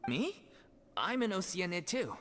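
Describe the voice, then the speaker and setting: A man's voice: high voice, very animated, unhurried, full character voice. A man playing an easygoing, food-loving young actor in a video game, with a breezy, unbothered manner. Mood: cheerful. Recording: loud background music.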